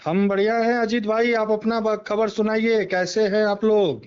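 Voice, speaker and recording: A man's voice: sits high, flat delivery, normal speed, a little mellow, clear, resonant tone. A man giving a political interview over the phone, speaking emphatically and often repeating himself in agreement. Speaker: political party member and former election candidate, interviewee. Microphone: phone call. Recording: dull, band-limited phone call recording.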